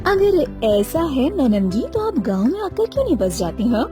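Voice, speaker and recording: A woman's voice: high-pitched, big pitch swings, normal speed, music in the background. A woman voicing a jealous, sarcastic young village wife with pointed delivery in a cartoon moral story. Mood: sarcastic, sour.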